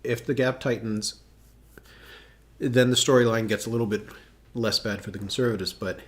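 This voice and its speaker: A man's voice: mid-range voice, fairly even intonation, normal speed. A man hosting a live election analysis stream, chatting casually with many fillers as he thinks aloud and reacts to viewers. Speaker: political analyst hosting a livestream.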